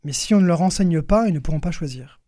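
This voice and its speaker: Young man, higher-pitched mellow voice. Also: expressive, fast talker, no echo, clean studio sound. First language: French. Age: young adult. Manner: hesitant phrasing, repeats words as he searches. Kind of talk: explanatory radio interview.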